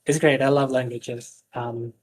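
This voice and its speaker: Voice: medium pitch, steady tone, a little slow, a little mellow. A voice coach whose first language is Spanish, earnestly explaining voice training on a live stream with plenty of fillers and restarts.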